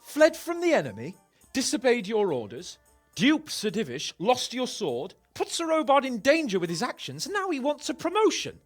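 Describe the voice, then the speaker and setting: A man's voice: extremely high pitch, wildly swooping pitch, moderate pace, dry recording, soft background music, exaggerated voice. A man playing an arrogant, hot-tempered young nobleman in a video game, haughty and sneering. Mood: scornful. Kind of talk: video game cutscene acting.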